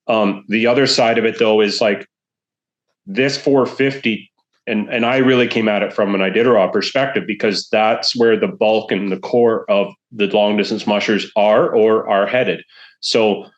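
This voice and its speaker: A man talking as a sled dog racing guest in a casual podcast conversation, measured and rambling with many fillers. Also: on the low side, low-quality recording. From Alaska. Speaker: musher representative, podcast guest.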